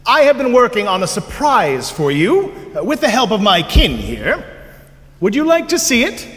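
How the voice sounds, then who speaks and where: An actor playing a gentle, wise leader of night spirits in a ceremonious, tender stage style, in a reverberant auditorium. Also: male voice, sits high, huge pitch swings, moderate pace, full character voice. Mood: warm, excited. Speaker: actor in a staged reading of a musical. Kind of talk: theatrical stage acting. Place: large indoor high school auditorium. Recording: reverberant school auditorium with piano underscore. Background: music.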